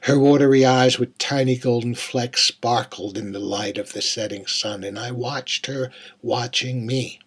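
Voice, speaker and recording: Man to play male serial killer, dramatic monologue. Mid-pitched, rough-breathy voice, wistful menace, halting and confiding. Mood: wistful, unsettling. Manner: intimate, halting, dropping to near-whispers. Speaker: writer reading own monologue.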